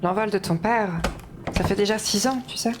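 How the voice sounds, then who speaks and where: A woman's voice: mid-range voice, normal speed, background noise, close to an everyday voice. A woman playing a practical mother who farms, chatting casually with her daughter in an audio drama.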